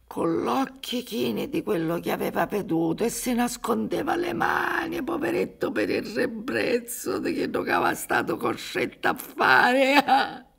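An actress in her sixties playing a haunted, grieving old peasant mother in a radio drama, with a Sicilian accent and a halting, pleading delivery. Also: a little high, big pitch swings, creaky, fairly bright tone, husky, exaggerated voice. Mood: grim, sorrowful. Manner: slow, halting, swinging from whispers to cries. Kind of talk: dramatic radio play monologue. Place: theatre stage.

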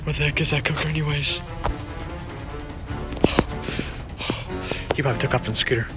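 A man's voice: fairly high pitch, fairly even intonation, very fast, over loud music, lightly acted. Man playing a dogged, muttering beast on the hunt. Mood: puzzled. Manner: repetitive muttering to himself. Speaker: puppeteer voicing a character. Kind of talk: improvised home puppet film dialogue.

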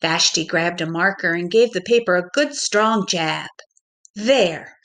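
A middle-aged woman reading a picture book aloud to young children in a deliberate, expressive way, like a teacher. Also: lowish voice. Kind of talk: children's storybook read-aloud.

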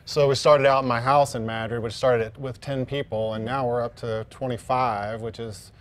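Relaxed man chef on a TV kitchen set. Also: mid-range voice, moderate pace. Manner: relaxed, loose, with many ums. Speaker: guest chef. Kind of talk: casual cooking demo chat. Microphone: lapel mic.

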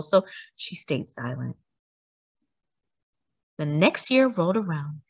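A woman reading a children's book aloud as a storyteller, with expressive, emphatic delivery for young listeners. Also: fairly low pitch, slightly rough, no echo.

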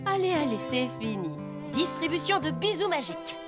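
A woman voicing a gentle, soothing mother bear in a preschool cartoon, tender, playful and reassuring. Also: high voice, very animated, normal speed. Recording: loud music behind the voice.

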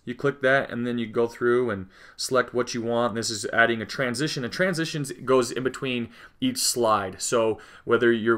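A man's voice: mid-range voice, fairly bright tone. A man hosting a tech tutorial, talking casually through an on-screen walkthrough with frequent fillers and self-corrections. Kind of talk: unscripted screen-recording walkthrough.

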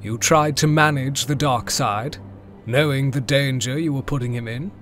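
A man portrays a principled, diplomatic Jedi master in an audio drama. His mid-range voice is polite and measured. Music plays faintly behind. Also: mid-range voice, a bit bright, natural voice. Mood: probing. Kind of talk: fan audio drama acting.

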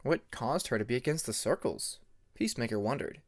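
A man's voice: mid-range voice, lively intonation, a little fast. A man reading a story aloud as narrator of an amateur audiobook.

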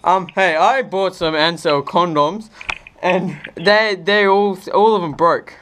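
Male caller, halting conversational delivery, slight noise on the recording. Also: high voice, steady tone, fairly bright tone. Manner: hesitant, halting. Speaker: prank caller. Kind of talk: phone conversation. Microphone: recorded beside a phone on speaker. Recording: faint background noise.

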